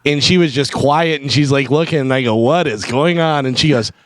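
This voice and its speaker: A man's voice: mid-range voice, brisk, dry recording. A man co-hosting a morning radio show, teasing and laughing as he tells stories into a studio microphone. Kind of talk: casual morning radio banter.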